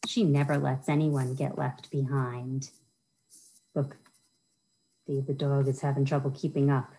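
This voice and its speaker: Slow, low-voiced American woman at storytime. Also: gravelly, darkish tone, slight background noise, poor audio. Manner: simple, child-directed talk with questions to listeners. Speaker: children's librarian, storytime host. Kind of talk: children's storytime read-aloud with chatty asides. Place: indoors, small wood-panelled room. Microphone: webcam or laptop at arm's length.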